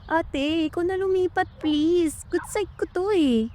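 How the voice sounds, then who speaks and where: A woman's voice: very high voice, unhurried, a little mellow. A woman with a Filipino accent playing a cheerful, whiny, joking youngest sister in a radio drama. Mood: whiny pleading. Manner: pleading, playful.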